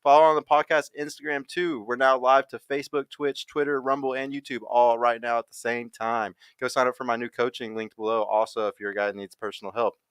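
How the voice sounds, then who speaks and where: A man's voice: medium pitch, level tone, brisk, few pauses, fairly bright tone, smooth, no echo. A man hosting a live podcast from a studio, hyped and rattling off plugs. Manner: hyped, plug-heavy delivery. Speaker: podcast host. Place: studio in Dallas.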